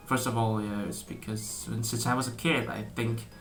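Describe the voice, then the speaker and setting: Bright-voiced young Indonesian man, hesitant. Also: mid-range voice, steady tone, moderate pace, soft background music. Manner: hesitant, many fillers and restarts, laughs often. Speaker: vlogger. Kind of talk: casual talk to camera.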